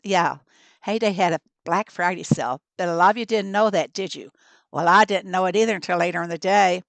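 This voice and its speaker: A hoarse woman streaming a mobile game, chatting casually and cheerfully with her viewers. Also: lowish voice, brisk, no echo. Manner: chatty, upbeat, laughs often, talks to chat. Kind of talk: casual livestream chat to viewers.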